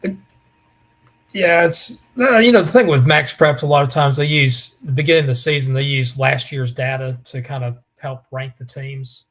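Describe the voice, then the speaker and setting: A man with a raspy voice, co-hosting a casual sports talk show and telling stories with lots of restarts and fillers. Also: mid-range voice, normal speed, a bit bright. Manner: rambling storyteller, many restarts and fillers. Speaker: co-host.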